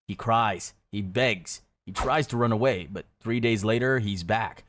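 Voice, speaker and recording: A man reading dramatic scripted second-person narration in a grave, measured storytelling manner. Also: on the low side, a bit bright, a little hiss or hum. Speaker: video narrator. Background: background music.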